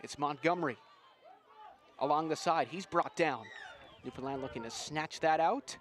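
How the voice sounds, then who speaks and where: A man doing live, excitable play-by-play commentary on a rugby sevens match. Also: on the high side, normal speed, a faint crowd. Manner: excitable, builds to shouts at big plays.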